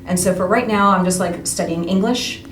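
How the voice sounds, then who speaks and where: A woman's voice: medium pitch, normal speed. A woman in her thirties chatting casually as an interview guest, in an informal, self-deprecating way. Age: 30s. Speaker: radio play cast member being interviewed. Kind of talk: casual chat. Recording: roomy, distant mic with background noise.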